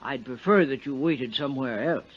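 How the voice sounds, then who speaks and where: An actor playing a snobbish, deferential apartment attendant, prissy and over-polite, in 1950s radio drama style. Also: male voice, mid-range voice, normal speed, natural voice. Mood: fussy, disapproving. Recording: dull 1950s radio recording.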